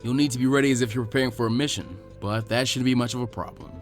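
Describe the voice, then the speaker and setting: A man voicing a calm, laid-back adult ninja mentor in an anime fan fiction reading, subdued and mildly chiding. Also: mid-range voice, rapid-fire, soft background music, voice pushed down, lightly acted. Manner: subdued, even, half-hearted chiding.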